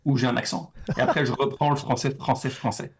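A man's voice: mid-range voice, a little fast. A young man from France, a comedian guest, speaking French with a slight Québécois lean, talking animatedly and often repeating words as he thinks. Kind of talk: casual interview chat.

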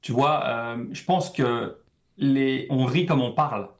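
French comedian with a slight Québécois lean. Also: male voice, medium pitch, slow pace. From France. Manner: animated, repeats words while thinking. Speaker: comedian guest. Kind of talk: casual interview chat.

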